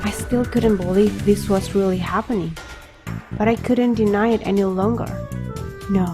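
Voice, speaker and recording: A woman's voice: mid-range voice, lightly acted. A woman playing an introspective young reader, narrating his story in scripted first-person audio drama style. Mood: reflective.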